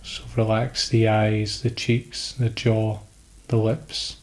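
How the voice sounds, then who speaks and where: A man leads a guided sleep meditation. His voice sits on the low side and stays extremely level, with almost no melodic movement. He gives calm instructions in short phrases, leaving pauses between them.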